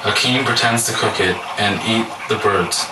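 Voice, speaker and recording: A man's voice: lowish voice, normal speed, noisy background. A man narrating a puppet-show folktale as a scripted story. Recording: echoey, distant, loud music behind.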